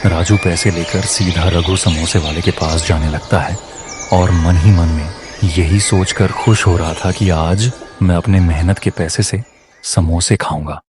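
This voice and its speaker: A man narrating a children's cartoon moral story in a steady storybook manner. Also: sits low, unhurried, over music.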